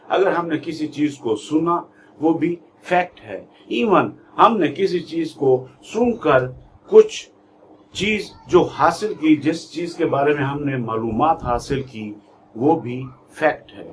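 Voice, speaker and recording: A man with a Pakistani accent giving an instructional lecture in a deliberate, emphatic way, repeating key terms. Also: a little high. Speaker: law lecturer, YouTube channel host. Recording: faint background noise.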